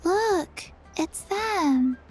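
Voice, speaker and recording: A woman voicing a small, deadpan, forgetful zombie girl in a video game, speaking in halting, broken phrases. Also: extremely high pitch, loud music behind the voice, heavily acted. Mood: slow, flat.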